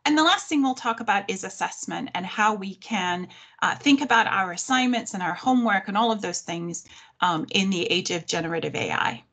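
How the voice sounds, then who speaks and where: A middle-aged woman from the North of England presents a workshop over a video call, her accent softened after decades in America. She speaks clearly and at a measured pace, friendly with touches of humor, into a webcam in her living room. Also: medium pitch, lively intonation. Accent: mild Northern English, softened by thirty years in the US. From Sheffield, North of England. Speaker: history professor presenting a workshop. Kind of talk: online presentation.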